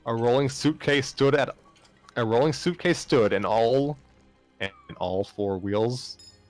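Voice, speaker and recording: A man's voice: medium pitch, slow, faint music behind. A man narrating fan fiction aloud as an amateur reader, sometimes stumbling and rereading phrases. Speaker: story narrator.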